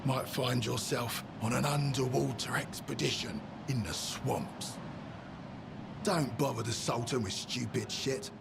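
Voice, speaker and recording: Gravelly, menacing henchman. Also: mid-range voice, measured pace, noisy background. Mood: quietly threatening. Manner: threatening, matter-of-fact. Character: menacing male henchman guarding his boss. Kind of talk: video game character dialogue.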